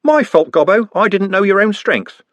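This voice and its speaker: A man's voice: high-pitched, lively intonation, moderate pace, fairly bright tone, dry recording, pitched above the performer's own voice. A man voicing a brash, cheeky young male football fan who swears and banters. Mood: bantering.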